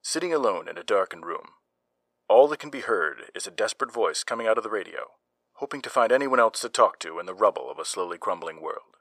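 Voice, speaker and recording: A man narrating the opening of a horror audio drama in a measured, ominous tone. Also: lowish voice, lively intonation, fairly bright tone, no room sound. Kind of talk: scripted narration.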